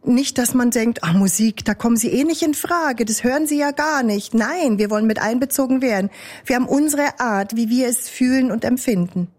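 Woman, Swiss German accent, interpreter voicing speech, measured, slightly raised pitch. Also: darkish tone. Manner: measured, thoughtful phrasing with small hesitations. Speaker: sign language interpreter. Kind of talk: spoken interpretation, first person.

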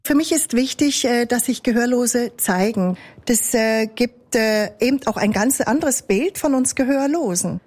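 A woman with a Swiss German accent voices spoken interpretation in the first person, measured and thoughtful. Her voice sits a bit high for a woman, with small pauses and hesitations as she goes. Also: lively intonation, darkish tone. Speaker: sign language interpreter.

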